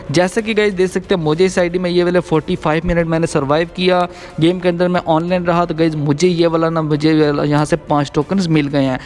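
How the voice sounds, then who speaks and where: A man with a slightly high-pitched voice narrates a gaming tutorial as the channel's host. He speaks directly to his viewers, often addressing them as guys, and explains each step as he goes. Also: a little mellow.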